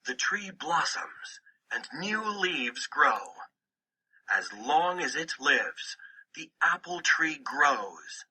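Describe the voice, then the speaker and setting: A man reads a children's picture book with a very bright, forceful edge and a husky grain. His delivery is slow and deliberate, with pitch that moves up and down in a storytelling way. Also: big pitch swings, poor audio. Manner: deliberate, storytelling phrasing in short sentences.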